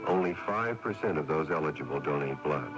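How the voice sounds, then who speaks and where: A man's voice: rumbling low, lively intonation, a little fast. A man reading a scripted public service announcement as a radio announcer. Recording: dull 1980s AM radio over loud music. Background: loud music.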